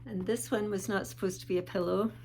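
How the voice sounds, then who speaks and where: A woman talking casually in a show-and-tell vlog, calm and unhurried with small pauses, sounding a bit sniffly. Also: medium pitch, slight background noise, low-quality recording. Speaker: vlogger.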